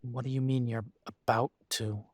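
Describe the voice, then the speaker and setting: A man acts out a male werewolf in an intimate audio roleplay. His medium-pitched voice is very dry, with no room around it. He speaks to his mate in a halting, exasperated way, weary and torn. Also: level tone, dry recording, close to an everyday voice. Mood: resigned, doubtful. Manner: exasperated, halting, sighing delivery.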